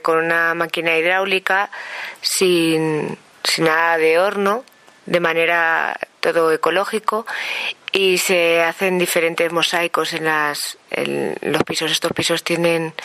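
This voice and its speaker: A woman in her thirties from central Spain, explaining a workshop in a measured way. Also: lowish voice, a bit bright, no echo. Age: 30s. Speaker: camp participant. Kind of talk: explanatory interview answer.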